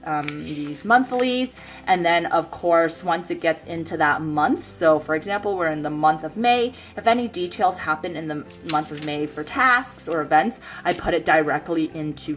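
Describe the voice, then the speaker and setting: A woman in her thirties with a somewhat low voice, sniffly from a cold. She rambles in chatty, filler-heavy talk while planning at a desk, her voice fading now and then as she turns from the camera mic. Faint music plays underneath. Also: normal speed. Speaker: planner vlogger. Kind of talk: casual chat while planning. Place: home desk by a bright window.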